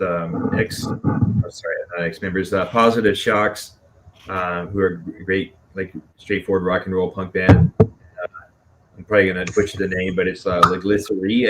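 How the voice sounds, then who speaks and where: A man from Dallas chatting casually as a band musician being interviewed, halting and self-effacing. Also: low-pitched. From Dallas, Texas. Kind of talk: casual chat. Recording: clearly noisy background.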